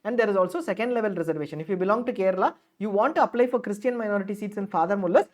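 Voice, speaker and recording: Woman, brisk tutorial presenter, patient explainer with lots of "uh"s. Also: mid-range voice. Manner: explanatory, repeats and rephrases points with frequent fillers. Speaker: counselling guide presenter. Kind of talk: screen-share tutorial walkthrough.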